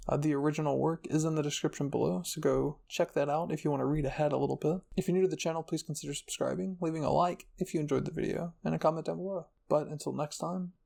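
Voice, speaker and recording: Husky, gravelly male storyteller. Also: on the high side, fairly even intonation, fast talker, hardly any pauses, dark tone. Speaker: storyteller and channel host. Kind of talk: dramatic scripted fan-fiction narration. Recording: music behind the voice.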